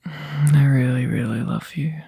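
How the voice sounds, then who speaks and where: A man playing a tired, overworked young boyfriend in a soft, intimate ASMR roleplay, halting and breathy, often dropping into a whisper close to the mic. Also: mid-range voice, exaggerated voice. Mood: touched, loving. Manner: halting, drowsy, sighing delivery. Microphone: close microphone, dry.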